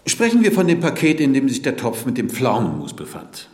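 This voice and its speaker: In an echoey room, a skeptical male examiner. His questions are pointed, with a very lively swing in pitch. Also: a little fast. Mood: probing, skeptical. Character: probing male court examiner questioning a witness. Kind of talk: radio drama acting.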